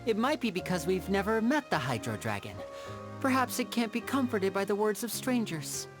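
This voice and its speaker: A woman's voice: medium pitch, very animated, normal speed, over music, natural voice. A woman playing a charming young male stage magician and caring older brother in an anime game, polite and theatrical. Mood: composed.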